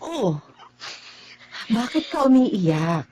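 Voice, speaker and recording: A woman playing an affectionate, welcoming adoptive mother in a radio drama, expressive and doting. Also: a little high, drawn-out words, slight background noise, exaggerated voice. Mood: concerned. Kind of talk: Tagalog radio drama acting.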